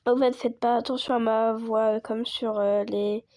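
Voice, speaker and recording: A woman chatting casually over gameplay as a video creator, hoarse from a cold and rambling with lots of fillers. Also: on the high side, flat delivery, moderate pace, dark tone, no room sound, clean studio sound. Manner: rambling, full of fillers and restarts.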